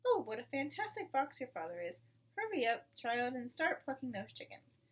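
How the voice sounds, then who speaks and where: A woman's voice: high-pitched, very animated, normal speed, full character voice. A woman voicing a fond, weary mother fox in a storybook, motherly and given to exclaiming. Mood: revived, pleased. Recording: distant mic with clear background noise.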